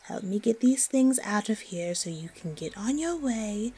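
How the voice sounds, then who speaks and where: A woman playing a haughty, suspicious, disdainful spider monster in a fantasy audio roleplay, with a breathy, often whispery voice. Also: mid-range voice, expressive, mellow. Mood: grudging, disdainful. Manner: slow, disdainful, haggling.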